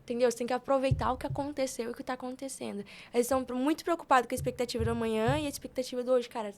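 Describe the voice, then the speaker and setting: Young woman, high-pitched, casual podcast guest, earnest, colloquial, motivational, repetitive for emphasis. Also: steady tone, racing. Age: young adult. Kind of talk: casual conversational talk.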